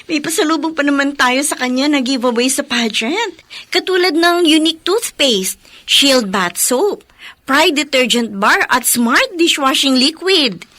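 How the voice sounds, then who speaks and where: A perky, upbeat female friend in a radio drama. Her voice is high, bright and very animated, with a chirpy delivery. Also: unhurried, full character voice. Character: bubbly, upbeat pageant-world girlfriend of the heroine. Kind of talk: Filipino radio drama acting.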